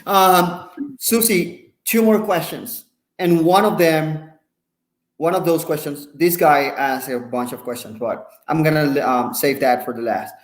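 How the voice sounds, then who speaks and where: A man's voice: fairly high pitch, unhurried, echoey room. A man with a Puerto Rican accent hosting a casual interview, enthusiastic and playful in his remarks. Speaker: host and coach.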